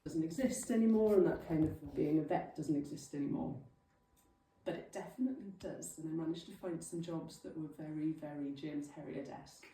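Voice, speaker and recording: A Welsh woman in her thirties talks casually to a village group, chatty and self-deprecating. Her voice is low and mellow. She was recorded from some distance in a very echoey hall. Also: brisk, slight background noise. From Wales. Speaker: guest speaker. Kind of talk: informal talk to a local group.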